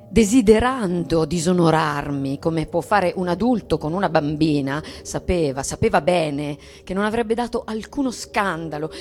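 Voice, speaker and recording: An actress playing a bitter, yearning bourgeois wife in a dramatic radio reading, deliberate and hushed, with long pauses. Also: female voice, lowish voice. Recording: music and sound design behind.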